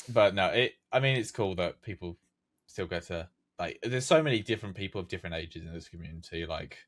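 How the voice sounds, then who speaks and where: Low-voiced young man, rambling chat. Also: lively intonation, normal speed, pauses often, a bit bright. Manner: rambling, many fillers and restarts. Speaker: podcast guest, game map creator. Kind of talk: casual chat.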